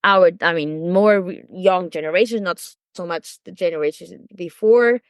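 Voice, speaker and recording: Thoughtful Portuguese woman teacher, lowish voice. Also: normal speed, smooth, no echo. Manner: thoughtful, many fillers and restarts. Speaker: language teacher guest. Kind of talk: casual podcast conversation.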